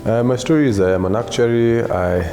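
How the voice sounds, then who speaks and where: A man's voice: mid-range voice, a little fast, warm tone, faint music behind. A man, introducing himself and his work in an interview. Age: 30s. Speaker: interviewee, actuary. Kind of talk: personal profile interview.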